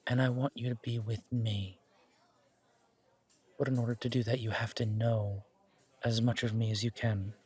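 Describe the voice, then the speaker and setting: A man playing an earnest, protective, wry mafia boss on a first date in a romantic audio roleplay, speaking in a measured, intimate way. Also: medium pitch, flat delivery, a little mellow, husky, natural voice. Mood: earnest, tender.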